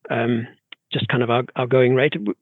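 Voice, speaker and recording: A middle-aged man from South Africa with a South African accent, a guest expert talking in a measured, halting way with many restarts. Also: medium pitch, steady tone, dry recording. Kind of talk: casual podcast interview. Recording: tinny phone-like remote line.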